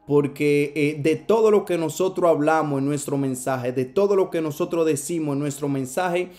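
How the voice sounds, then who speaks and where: A man's voice: on the high side, moderate pace, a little mellow, soft background music. A man from the Dominican Republic with a Dominican accent, preaching a sermon over a video call with earnest repetition and many hesitant fillers. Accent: Dominican Spanish. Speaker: evangelist preacher.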